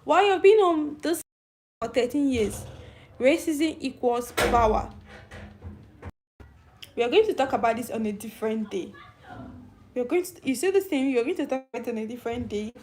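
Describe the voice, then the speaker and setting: A woman's voice: a little high, normal speed, long pauses, darkish tone, a little hiss or hum. A middle-aged woman with a young-sounding voice hosting a casual live stream, chatting and answering viewer comments. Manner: youthful-sounding voice. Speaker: channel host.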